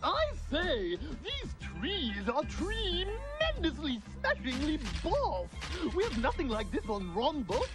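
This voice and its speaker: A pampered alien prince from a cartoon, speaking in a high, swooping voice. He is posh and gushing, stretching his old-fashioned exclamations slowly over loud music. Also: wildly swooping pitch, full character voice. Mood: gushing wonder. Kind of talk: cartoon voice acting.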